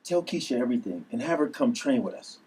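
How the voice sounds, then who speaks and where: A man's voice: mid-range voice, very animated, fast, darkish tone, breathy edge, low-quality recording. A man at a table read playing an earnest uncle, a recovering addict who now trains his nieces to fight. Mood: calm, firm.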